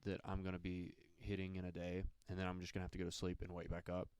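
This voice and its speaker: Deep-voiced mississippi guy rambling on a podcast, dry close mic, laughs a lot. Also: quick pace, smooth, no echo. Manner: relaxed, rambling, laughs often. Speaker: podcast co-host. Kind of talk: casual chat.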